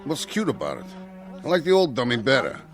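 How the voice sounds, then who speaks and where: An actor playing a cynical, grumbling nightclub boss in a radio play. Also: male voice, medium pitch, huge pitch swings, fairly quick, soft background music.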